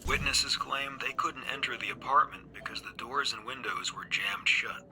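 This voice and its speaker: A man's voice: mid-range voice, steady tone, normal speed, pushed and bright, a little hiss or hum. A man playing a matter-of-fact investigator dictating an official case report in a game cutscene. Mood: sober, official. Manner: clipped, official.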